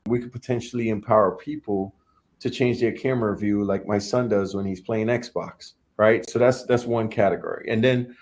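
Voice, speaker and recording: A man's voice: lowish voice, normal speed, darkish tone. A young adult man from Trinidad and Tobago, a startup founder talking casually as an interview guest, often repeating and restarting his words.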